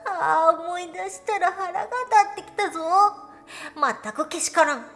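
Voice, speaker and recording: An ancient cat goddess, acted out by a woman, with a high, girlish pitch and a haughty, old-fashioned way of speaking. She delivers a dramatic storytelling monologue, grumbling yet fond, with music playing softly behind her. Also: moderate pace, vocal fry, full character voice. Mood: indignant. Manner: haughty, archaic old-fashioned lordly speech. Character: proud thousand-year-old female cat god, grumbling but fond. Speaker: virtual streamer in character.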